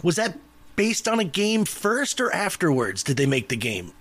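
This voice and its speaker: A man hosting a casual podcast, talking in a halting, rambling, chatty way and often repeating himself. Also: high voice, big pitch swings, fairly bright tone. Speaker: podcast host.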